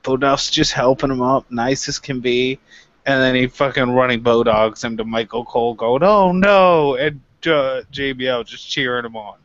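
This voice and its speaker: Mid-pitched man in dry, muffled audio. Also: normal speed, fairly bright tone, no room sound. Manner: informal, mildly profane. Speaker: review show co-host. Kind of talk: casual chat.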